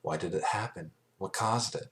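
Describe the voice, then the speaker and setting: A man narrating scripted fan fiction as an amateur reader, halting and stumbling over phrases now and then. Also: low voice, a bit bright.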